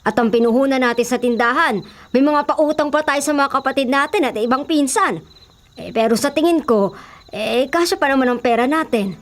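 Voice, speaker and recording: A woman's voice: high voice, fairly quick, natural voice. A woman with a Filipino accent playing a kind, worrying older mother in a radio drama. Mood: kindly, resigned. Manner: fretful, exclaiming. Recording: music and crowd noise behind. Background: music, crowd sounds.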